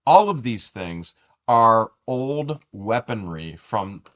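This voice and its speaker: A man co-hosting a casual commentary vlog, taunting and mocking, his voice swinging up into shouts. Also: lowish voice, animated, slow pace, highs cut off. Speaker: YouTube channel co-host.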